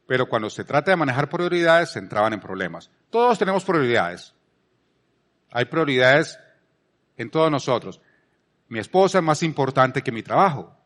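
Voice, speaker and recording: A man's voice: medium pitch, big pitch swings, moderate pace, fairly bright tone. A man from Colombia with a Colombian accent, giving a conversational sermon as a preacher, repeating phrases for emphasis and posing rhetorical questions. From Palmira, Colombia.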